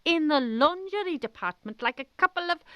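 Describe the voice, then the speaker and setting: A woman's voice: sits high, animated, brisk, no echo, lo-fi sound, pitched above the performer's own voice. A woman playing a stern, pompous older headmistress with a Welsh accent in a comic radio drama, imperious and theatrical. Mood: scolding, outraged. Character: stern, pompous older chapel-going headmistress, mock outraged.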